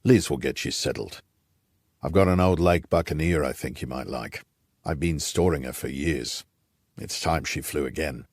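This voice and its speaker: Man playing a deep-voiced old Rhodesian patriarch. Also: normal speed, darkish tone, dry recording, clean studio sound. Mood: fatherly, reflective. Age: older. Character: aging gruff Rhodesian patriarch, old scout, wry and nostalgic.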